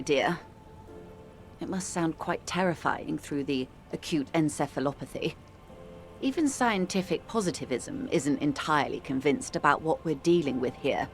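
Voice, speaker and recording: A worldly, composed woman of mature years speaks in a lowish register. She is eloquent and measured, with gentle condescension fit for narrative game dialogue. Music plays clearly beneath her. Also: exaggerated voice. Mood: gently reassuring. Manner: measured, eloquent, calls the listener dear and darling. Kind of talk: dramatic video game dialogue. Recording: music playing under the voice.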